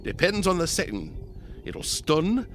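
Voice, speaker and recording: An actor playing a gruff, folksy zeppelin captain in an audio drama, matter-of-fact with old sailor phrasing. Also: male voice, high-pitched, sing-song, a little slow, a bit bright, a little hiss or hum, full character voice.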